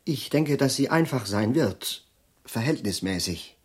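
An actor playing a polite, idealistic and absent-minded professor in a German radio drama, speaking formally and haltingly. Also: male voice, medium pitch, animated, breathy edge. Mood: earnest, thoughtful.

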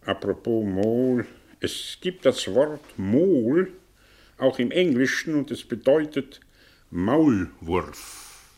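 A man's voice: medium pitch, sing-song, dark tone. An actor in a German radio play playing a suspicious, sly middle-aged tutor with a theatrical, probing way of drawing out his phrases. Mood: teasing, probing. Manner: theatrical, probing, drawn-out phrasing with sudden swings. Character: suspicious, sly English tutor, a man living under an alias.

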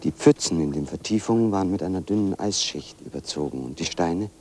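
A solitary wanderer narrates in a radio drama. His voice is deep, measured and observant, literary in delivery, with faint noise behind it. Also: dark tone. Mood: sober, reflective.

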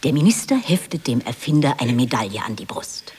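A man's voice: medium pitch, very animated, a little fast, very noisy, natural voice. A man playing a matter-of-fact narrator who reads stage directions in a radio play.

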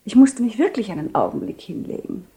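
A gentle, mellow-voiced duchess. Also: female voice, medium pitch, huge pitch swings, brisk. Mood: tender, subdued. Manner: soft, tender, restrained. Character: gentle, dignified duchess, a loving wife. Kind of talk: 1970 radio play acting.

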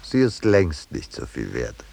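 An actor playing a scheming, smooth-talking man in a 1950s German radio drama, mock-polite and ironic with small hesitations. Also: extremely low pitch, huge pitch swings, unhurried, over loud music, heavily acted. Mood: pleased, conspiratorial. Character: scheming, smooth-talking man bargaining away his troublesome cousin. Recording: vintage 1950s radio recording.

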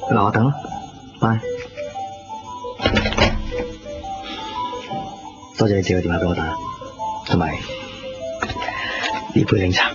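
A man's voice: low-pitched, steady tone, a little fast, dull audio, natural voice. An actor playing a casual, offhand young man in a Cantonese radio drama. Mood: polite. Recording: band-limited radio recording, loud music behind.

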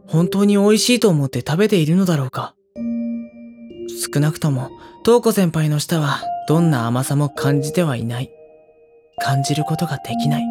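An actor playing a gentle, anxious teenage schoolboy who narrates an audio drama with wry worry. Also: male voice, on the high side, natural voice. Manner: wry, worried inner monologue. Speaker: narrator and lead character.

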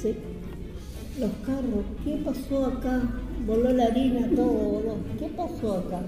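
A woman's voice: a little high, measured pace, lightly acted. Woman acting a bewildered shop worker, heavy echo. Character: bewildered supermarket worker facing strange chaos. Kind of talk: amateur student radio drama. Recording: very echoey room, music behind.

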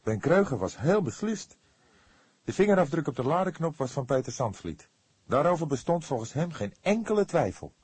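A man's voice: fairly high pitch, big pitch swings, moderate pace, darkish tone, husky, dry recording, pitched above the performer's own voice. A man voicing an eager, impatient younger police detective who speaks in a heated, insistent way. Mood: surprised, insistent.

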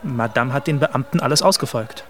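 An actor playing a polite, worried young private tutor, speaking formally and respectfully in a radio drama. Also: male voice, medium pitch, fairly quick, a bit bright, lightly acted. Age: young adult. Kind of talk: naturalistic German radio drama acting.

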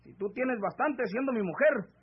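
An actor playing a scheming, greedy husband from the countryside, with a rural northern Mexican accent and an insistent, rustic way of talking, in an old radio serial. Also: male voice, very high-pitched, expressive, moderate pace, husky, exaggerated voice. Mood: pushy, aggrieved. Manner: rustic, insistent, pressing speech. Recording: dull vintage AM radio drama recording.

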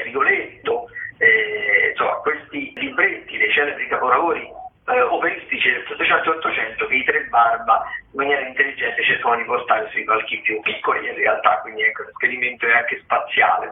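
A man hosting a radio show, discursively presenting theatre listings and thinking aloud as he reads. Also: medium pitch. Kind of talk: semi-improvised radio commentary on theatre listings. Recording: tinny phone-like radio sound, roomy echo.

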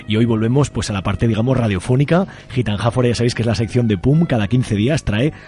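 A man's voice: mid-range voice, fast, warm tone. Male radio co-host, mid-range voice, Spain accent, casual conversational style.

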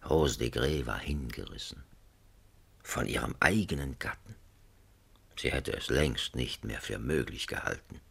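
A wry storyteller in a satirical radio play. His voice is extremely deep and rough with creak. He delivers literary narration with mock solemnity and lively swings in tone. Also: measured pace, exaggerated voice. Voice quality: gravelly, husky.

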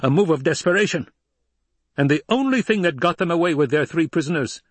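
Man acting an imperious, wrathful Emperor, pitched high. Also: expressive, a little fast, slightly rough, dry recording. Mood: scornful, indignant. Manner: declamatory, biting, domineering. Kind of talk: dramatic audiobook character voice.

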